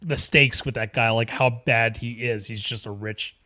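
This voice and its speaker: A man's voice: medium pitch, fairly even intonation, moderate pace, bright, forceful tone. A man co-hosting a film podcast, chatting casually as he discusses a movie.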